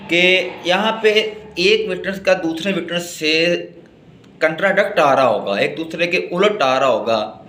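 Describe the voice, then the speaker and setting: A man's voice: mid-range voice, fairly bright tone. A man with a Pakistani accent lecturing in a didactic way, reading out notes and explaining them point by point. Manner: didactic, spells out and repeats points. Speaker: law lecturer. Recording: echoey room with faint background noise.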